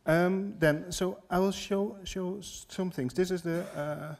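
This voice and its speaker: A middle-aged man giving a live demo talk in a lecture hall, hesitant and halting, often restarting his words. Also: high voice, steady tone, vocal fry, a little mellow. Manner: hesitant, halting delivery with repeated word starts. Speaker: app developer presenting a demo. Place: large lecture hall, on stage at a lectern. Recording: noisy live hall recording.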